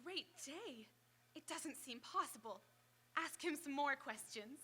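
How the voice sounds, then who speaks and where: A woman playing a high, piercingly bright, eager companion. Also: animated, unhurried, exaggerated voice. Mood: amazed. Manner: earnest, inquisitive. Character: eager, admiring young woman, scientist's companion. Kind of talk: old-time radio drama acting. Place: live on an auditorium stage.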